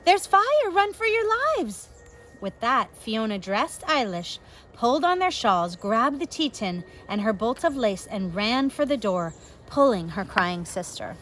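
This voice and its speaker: A woman teacher reads a children's book to her pupils in an animated, varied voice. She slips into casual asides and puts questions to her listeners. There is faint noise on the recording. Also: on the high side. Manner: expressive, questioning the young listeners. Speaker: elementary school teacher. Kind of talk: storybook read-aloud for pupils with casual asides.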